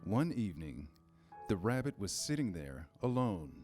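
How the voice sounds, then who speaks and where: A man reading scripted storybook narration in a measured, storytelling manner. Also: lowish voice, big pitch swings, darkish tone, faint music behind.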